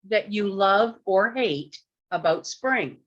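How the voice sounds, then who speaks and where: A woman's voice: mid-range voice, lively intonation, slow pace, a bit bright. An older woman casually explaining a question game over a video call. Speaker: club member running a question game. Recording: slightly dull video-call audio.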